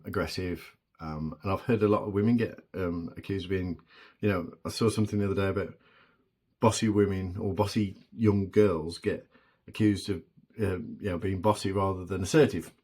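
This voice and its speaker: A man in his forties with a deep voice, talking alone in a halting, reflective way with many pauses and restarts. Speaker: vlogger. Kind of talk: solo reflective monologue.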